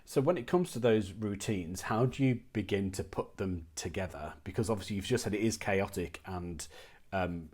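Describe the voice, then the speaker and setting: Lower-pitched man interviewing casually. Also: moderate pace, a little mellow.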